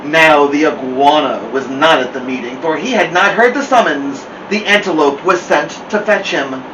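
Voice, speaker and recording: A man with a high voice reads aloud to children in an expressive, playful way. He drops in chatty asides to his listeners. The mic sounds distant and there is clear noise behind him. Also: moderate pace. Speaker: host of a children's story show. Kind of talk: animated read-aloud storytelling with chatty asides.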